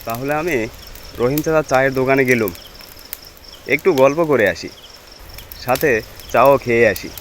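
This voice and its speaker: Over clear rain and background noise comes a man acting as a village husband from a cartoon ghost tale. His voice sits at an ordinary middle pitch. He speaks in a dramatic, homely storyteller's way. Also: moderate pace, long pauses, close to an everyday voice. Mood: homely, chatty. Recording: noisy, rain and ambience behind voice.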